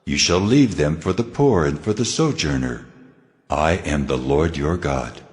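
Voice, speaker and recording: An actor playing God in a dramatized scripture reading, solemn, measured and commanding as he hands down laws. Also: extremely low pitch, lively intonation. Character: God, commanding solemn lawgiving male deity. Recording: long cavernous reverb, faint music.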